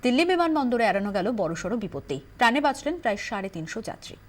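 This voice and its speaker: High, lively woman reading news headlines. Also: quick pace. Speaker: news anchor.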